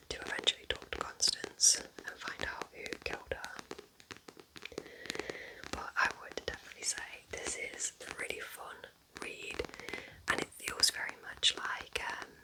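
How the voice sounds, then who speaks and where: A woman speaking in a soft, chatty, informal ASMR whisper, gently recommending things as a host in an unhurried way. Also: rough recording. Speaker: ASMR host reviewing books.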